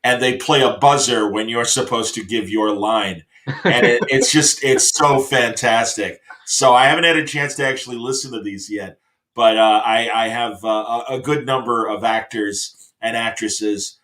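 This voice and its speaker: A man's voice: mid-range voice, moderate pace, a bit bright, poor audio. A middle-aged man hosting a show with casual, enthusiastic chat, rambling and often hesitating as he looks for the next phrase. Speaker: talk show host.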